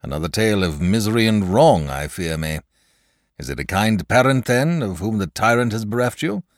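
A man voicing a noble, kindly knight with a grave and gentle way of speaking. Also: on the low side, expressive, moderate pace, dry recording, clean studio sound, natural voice.

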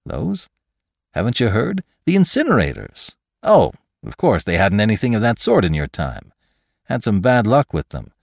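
A man playing an excitable, gushing scientist, lively and animated. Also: fairly low pitch, normal speed, creaky, no room sound, studio quality, voice pushed up, full character voice. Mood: chatty, informative. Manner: effusive, eager, exclamatory.

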